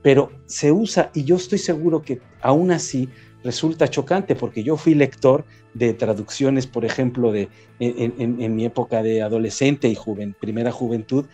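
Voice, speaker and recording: A man with a Mexican accent, a writer answering interview questions in a reflective, halting way with frequent restarts. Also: mid-range voice, dark tone. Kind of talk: conversational interview.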